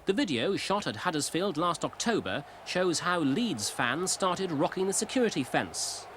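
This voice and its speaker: A man narrating a clear, explanatory scripted news report. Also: fairly high pitch, very animated, moderate pace, fairly bright tone, a little hiss or hum.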